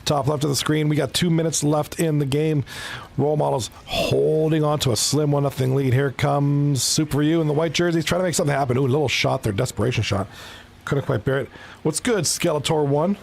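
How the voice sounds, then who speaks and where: A man's voice: on the high side, fairly quick, gravelly, darkish tone, a little hiss or hum. A man from Toronto giving casual live commentary on an esports hockey league, chatting with his viewers between plays. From Canada (Toronto).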